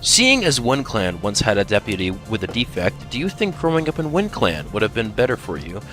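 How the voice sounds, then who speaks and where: A man voicing a playful tomcat warrior who reads out fan questions as announcer, teasing, goofy and deadpan by turns. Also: lowish voice, brisk. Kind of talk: improvised in-character fan Q&A. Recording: music behind the voice.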